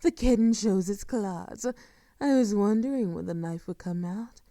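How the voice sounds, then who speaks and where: An actress performs a sadistic female vampire in an audio roleplay, seductive and possessive. Her voice is mellow and close, recorded very dry, with soft murmurs that dip toward a whisper. She takes her time, drawing out phrases with silky, theatrical condescension. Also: mid-range voice, a little slow, no room sound, close to an everyday voice. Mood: taunting. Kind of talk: intimate scripted audio roleplay acting.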